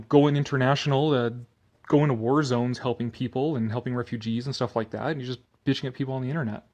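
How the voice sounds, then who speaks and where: A man giving a sardonic commentary and theatrical reading of an article, drifting into rambling asides. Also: a little high.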